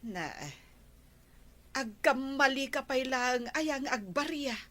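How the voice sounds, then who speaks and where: A woman's voice: sits high, slow pace, a bit bright. A woman playing a nosy, exasperated village neighbour in an Ilocano radio comedy drama, questioning and chiding, with a Filipino Ilocano accent.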